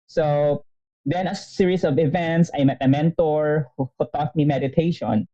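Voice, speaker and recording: A man in his thirties, a podcast guest telling his story in a casual, animated way, laughing often and restarting sentences. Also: a little high, normal speed, muffled recording. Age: 30s.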